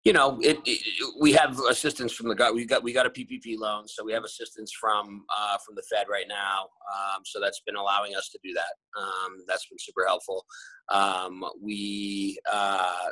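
Man rambling on a video call, bright tone. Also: fairly low pitch, little pitch movement, normal speed, no room sound, rough recording. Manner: rambling, many fillers and restarts. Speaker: restaurant group owner. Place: bright room at home. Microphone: laptop camera on a video call.

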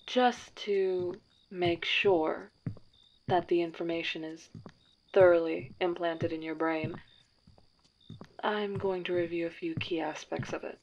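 A woman's voice: on the low side, steady tone, slow pace, darkish tone. A woman playing a formal, precise matchmaker at a dating agency in an audio drama. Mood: briefing. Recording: dull lo-fi sound, faint hiss.

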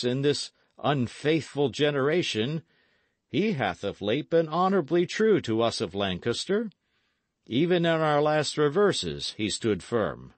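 A man's voice: on the high side, dry recording, pitched above the performer's own voice. A man voicing a stern but fair-minded English earl in an audiobook, with formal, weighty, archaic speech. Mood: insistent.

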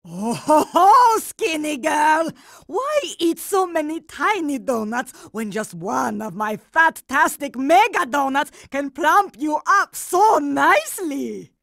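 A creaky old granny villain, sickly sweet n menacing, talks real slow, cartoon game. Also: female voice, high-pitched, very animated, a bit bright, dry recording, studio quality, heavily acted. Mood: gloating. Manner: sickly-sweet, gloating, drawn-out. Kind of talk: cartoon video game voice acting.

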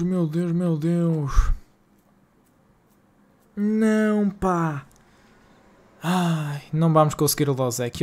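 A man's voice: fairly high pitch, darkish tone. A young man from Portugal with a northern Porto accent, streaming a game live and chatting casually with viewers in slangy, exasperated banter. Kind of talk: live-stream gaming chat. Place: home streaming room.

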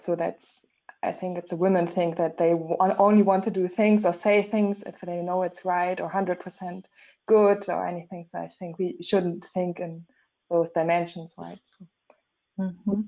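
A woman's voice: on the low side, level tone, moderate pace, mellow. A woman giving encouraging advice in a video-call discussion, ending points with "right?". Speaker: participant in online session. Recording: dull video-call audio.

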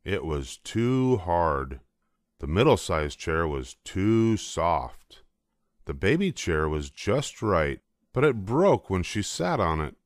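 A man's voice: low-pitched, big pitch swings, slow pace, no echo, clean studio sound. An older man reading a children's storybook aloud as scripted narration. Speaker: storybook reader.

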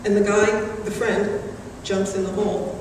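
A middle-aged woman delivering a prepared graduation speech in a measured, earnest way at a podium microphone in a large hall. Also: medium pitch, little pitch movement, a little mellow. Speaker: graduating student class speaker. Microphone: podium microphone, recorded from the audience. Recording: very echoey hall, distant, background noise.

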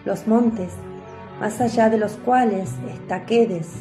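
A woman's voice: mid-range voice, slow, dark tone, faint music behind. A woman reading scripted narration for a biblical radio drama.

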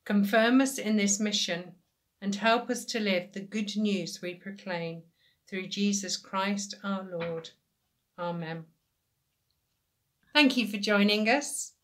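An older woman in her sixties leading a church service with measured address and prayer. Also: medium pitch. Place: small indoor room at home.

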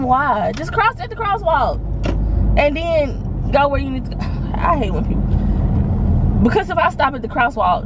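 A young woman chatting casually to her viewers while driving, rambling and laughing as she complains. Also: a little high. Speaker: vlogger. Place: inside a parked and moving car. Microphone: phone camera on the dashboard. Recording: loud car and street noise.

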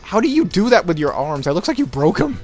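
A man's voice: high-pitched, very animated, fast talker. A man giving joking, crude gameplay commentary as the host of a let's play. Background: video game music.

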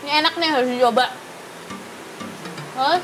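A woman's voice: high voice, moderate pace, fairly bright tone, rough recording. A young woman hosting a food show, chatting with enthusiasm as she tastes dishes and talks to viewers. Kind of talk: casual food review chat. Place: open-sided restaurant hut. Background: background music.

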